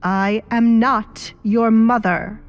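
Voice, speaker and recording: A woman voicing a scornful, scheming middle-aged baroness and bitter stepmother, sharp and haughty, in an audio drama. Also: fairly high pitch, fairly even intonation, takes it slowly, smooth, muffled recording, faint music behind, pitched above the performer's own voice.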